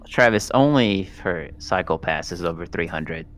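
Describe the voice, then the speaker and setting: A man's voice: deep voice, expressive, moderate pace, fairly bright tone. A man talking casually as a tabletop roleplaying player, reasoning with the group and often putting questions to them.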